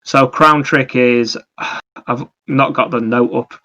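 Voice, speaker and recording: A man's voice: mid-range voice, fairly even intonation, slow pace, pushed and bright, dull audio. A man chatting casually as a podcast co-host about video games, explaining things in a rambling, anecdotal way.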